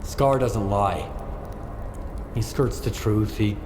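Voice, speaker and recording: An actor playing a steadfast, quietly sure male wanderer in a video game, terse and assured. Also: fairly low pitch, takes it slowly, background noise. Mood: calm certainty. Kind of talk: video game cutscene acting.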